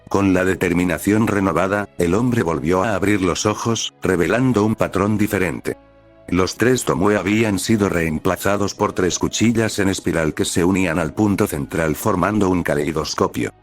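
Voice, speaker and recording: Deep voiced guy narrating a fanfic story like an audiobook, scripted read, bit of music behind him. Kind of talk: scripted audiobook-style fan fiction narration. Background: background music.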